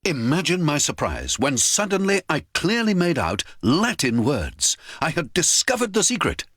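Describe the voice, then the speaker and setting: A man giving dramatic scripted narration of a story, with an expressive, theatrical delivery. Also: fairly high pitch, normal speed, slightly rough, dry recording, clean studio sound.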